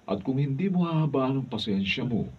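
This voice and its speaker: A man's voice: medium pitch, expressive, brisk, breathy edge. A man playing a brotherly coworker giving admonishing advice in a Filipino radio drama. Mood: concerned. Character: concerned, advice-giving male coworker and friend. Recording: thin phone-like sound, distant mic.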